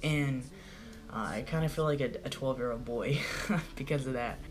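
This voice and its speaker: A young man talking casually to the camera in a small room at home, chatty and rambling with lots of "like" fillers, his voice prone to cracking and going hoarse. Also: medium pitch, normal speed, faint music behind. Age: young adult. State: voice goes hoarse easily. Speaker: vlogger. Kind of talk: casual video diary. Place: small bedroom at home, indoors. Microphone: camera in front at close range.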